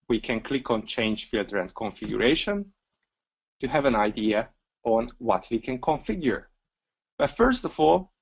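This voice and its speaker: A Hungarian man walking through a software feature step by step in a webinar screencast, relaxed and talking through each click with a few fillers. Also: medium pitch, slightly rough. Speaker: software trainer.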